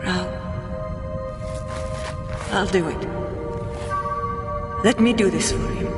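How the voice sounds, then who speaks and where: Devoted young warrior girl, emotional game cutscene, slow, bit far off, music behind. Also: medium pitch. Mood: resolute. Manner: earnest, emotional. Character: young warrior woman, devoted niece, earnest and urgent. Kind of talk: dramatic video game cutscene acting. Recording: echoey hall, distant, music behind.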